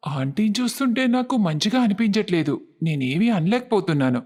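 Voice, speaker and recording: A woman's voice: medium pitch, animated, a little fast, darkish tone, voice pushed down, natural voice. A woman voicing a polite, uneasy young husband in a cartoon story, with a reserved manner.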